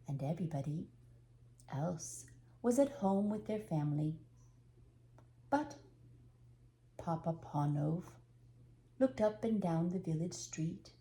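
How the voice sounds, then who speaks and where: An older woman reading a children's storybook aloud, unhurried and expressive, with dramatic pauses. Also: on the low side.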